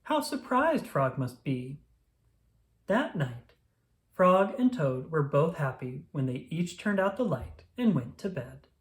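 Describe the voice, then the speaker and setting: A narrator reading a children's story aloud in an expressive, scripted read-aloud style. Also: medium pitch, takes it slowly.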